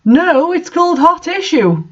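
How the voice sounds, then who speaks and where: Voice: extremely high pitch, very animated, measured pace, pitched above the performer's own voice, heavily acted. A reader playing a secretive, moody young male goth student from a story, with a melodramatic manner. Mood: secretive excitement. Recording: dull lo-fi sound.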